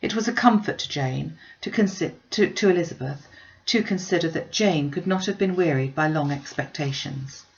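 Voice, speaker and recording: A woman reading a classic novel aloud as scripted audiobook narration, in an expressive storytelling manner. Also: low-pitched, moderate pace, gravelly, dull audio. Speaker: audiobook reader.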